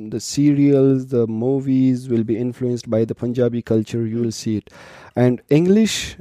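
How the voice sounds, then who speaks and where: A man in his thirties from Pakistan, a native Pashto speaker, answers interview questions in English. His voice is very dark and mellow, recorded close and dry, and he explains things patiently, pausing and restarting often. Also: mid-range voice, no echo. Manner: explanatory, hesitant with fillers and restarts. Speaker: interview guest, doctoral student.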